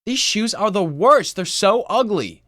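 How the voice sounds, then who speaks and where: Screenplay role: bratty seven-year-old girl, shrill, high and complaining , read by a man. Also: big pitch swings, measured pace, sharp tone, dry recording, clean studio sound, full character voice. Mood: shrieking, indignant. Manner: whiny, complaining.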